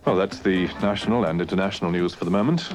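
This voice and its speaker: A very deep-voiced man closes a radio news segment in a hesitant, wry way. Faint music sits in the background of the old broadcast. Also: quick pace. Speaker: news presenter.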